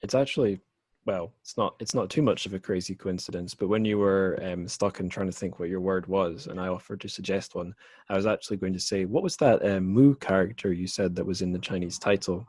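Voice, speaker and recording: A man's voice: sits low, fairly quick, darkish tone, husky, dry recording, studio quality. A man hosting a podcast in a casual interview chat, rambling and self-deprecating in a hesitant way. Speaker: podcast host.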